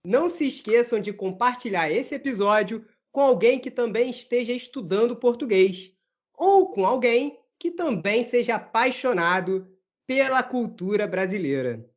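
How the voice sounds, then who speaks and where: A man from Brazil hosting his podcast and teaching Portuguese, friendly and measured as he closes out an interview. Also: extremely high pitch, expressive. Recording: dull lo-fi sound.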